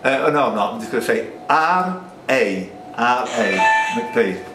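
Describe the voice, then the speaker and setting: A man's voice: medium pitch, animated, a little slow. A man hosting a live pub quiz, reading out questions with chatty, joking asides, recorded at a distance. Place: indoors in a pub. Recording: echoey, noisy pub, distant microphone.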